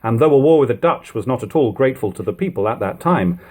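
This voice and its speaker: A male narrator with a slightly rough, husky voice reads old-fashioned prose. His pitch moves animatedly through the long sentences, and he leans on key words with deliberate pauses. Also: lively intonation, darkish tone. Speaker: audiobook narrator. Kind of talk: dramatic audiobook reading of 18th-century prose.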